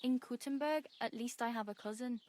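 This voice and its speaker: A woman's voice: on the high side, brisk, a little mellow, slight background noise, close to an everyday voice. A woman playing a friendly, plucky young wandering beggar in a medieval video game, chatty and wry. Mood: wry, hopeful. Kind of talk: video game dialogue acting.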